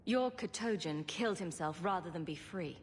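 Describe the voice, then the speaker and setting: An actress playing a tough, suspicious adventurer heroine in a video game, blunt, direct and controlled. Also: female voice, lowish voice, animated, normal speed, in a large hall, slight background noise, lightly acted. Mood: defiant. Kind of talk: video game cutscene acting.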